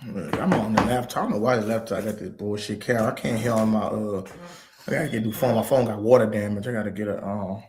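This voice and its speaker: A man's voice: on the low side, fast talker, a little hiss or hum. A man hosting a casual late-night livestream from home on a laptop mic, laid-back, profane and slangy. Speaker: stream host.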